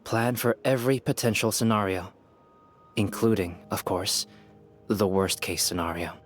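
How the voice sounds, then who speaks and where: An actor playing a calm, polite young male researcher in a game, speaking formally and articulately. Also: lowish voice, moderate pace, soft background music, lightly acted. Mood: grave, thoughtful. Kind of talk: game character dialogue.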